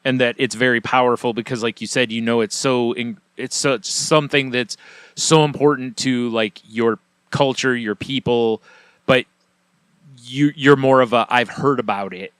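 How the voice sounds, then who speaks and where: Man, podcast co-host, rambling, filler-heavy, medium pitch, dry close sound. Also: fairly even intonation, moderate pace, fairly bright tone, clear, resonant tone, no room sound. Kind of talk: casual chat.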